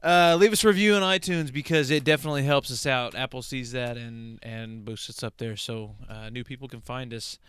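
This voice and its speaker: Man podcasting, clear close-up voice. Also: mid-range voice, fairly quick, hardly any pauses, fairly bright tone, dry recording. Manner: joking, teasing. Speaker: podcast host. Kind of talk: casual podcast banter.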